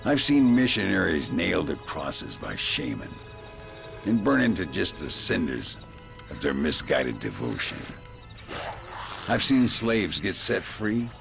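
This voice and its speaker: Old western gunslinger, gravelly, drawl, wry, world-weary, very lively intonation, music behind. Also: male voice, a bass voice, heavily acted. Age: older. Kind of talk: western video game acting.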